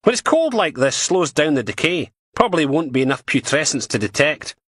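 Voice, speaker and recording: A man's voice: a little high, big pitch swings, fairly quick, a bit bright, no echo, clean studio sound, pitched above the performer's own voice. A man voicing a blunt, foul-mouthed female police dog handler who talks crudely and scornfully. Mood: blunt, scoffing.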